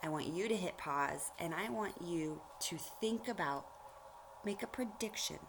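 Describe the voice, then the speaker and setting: A woman reading a storybook aloud like a teacher, pausing to explain things directly to young listeners. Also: fairly low pitch, expressive, gravelly, background noise. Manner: explanatory, addresses children directly.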